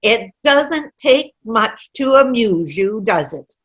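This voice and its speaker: A woman's voice: a little high, sing-song, slow, a bit bright, highs cut off, full character voice. A woman playing a cranky, stubborn elderly widow in an amateur comedy play reading, grumbling bluntly and dismissively. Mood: grumpy. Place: online video-call play reading.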